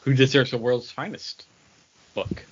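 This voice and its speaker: A man's voice: medium pitch, unhurried, slightly rough, muffled recording. A man co-hosting a podcast in loose, casual conversation with the panel. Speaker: comics podcast co-host.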